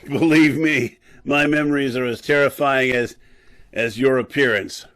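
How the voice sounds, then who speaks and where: A man playing a blunt, haunted, hard-drinking professor in an old-time radio drama, formal and deliberate. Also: medium pitch, steady tone, a bit bright, lightly acted. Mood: weary, frank.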